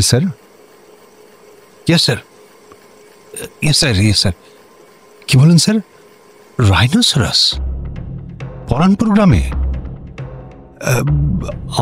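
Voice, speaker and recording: A bossy officious male bureaucrat in a radio comedy, excitable, clipped, music in the recording. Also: fairly high pitch. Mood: flustered, servile. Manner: officious, clipped, peppered with English words. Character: officious, excitable male district magistrate, bossy to juniors, servile to superiors. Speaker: actor in a radio play. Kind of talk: Bengali comedy radio drama acting.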